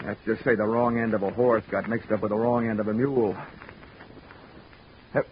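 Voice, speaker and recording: A man's voice: on the low side, steady tone, moderate pace, a little hiss or hum, close to an everyday voice. A man playing a wisecracking, hard-boiled private eye narrating a 1940s radio drama. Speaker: detective narrator. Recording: muffled old radio recording with music.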